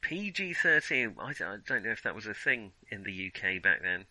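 A middle-aged British man talks casually as a podcast co-host. His voice is very bright and crisp-edged, recorded dry with no room sound. He feels his way through his thoughts in a hesitant, searching manner. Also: on the low side, sing-song, a little fast, no room sound, low-quality recording. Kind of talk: casual film discussion.

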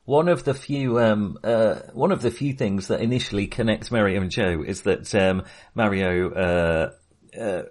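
Low-voiced man with halting, hesitant phrasing. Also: darkish tone. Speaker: podcast co-host. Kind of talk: casual film discussion.

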